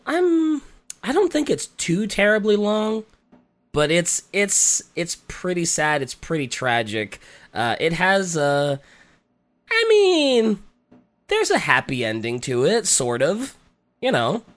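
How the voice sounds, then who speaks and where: A man co-hosting a podcast, talking casually with a raspy, congested voice while getting over a cold, hesitant and full of fillers. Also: high-pitched, sing-song, takes it slowly, fairly bright tone. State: recovering from a cold. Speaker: podcast co-host. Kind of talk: casual chat.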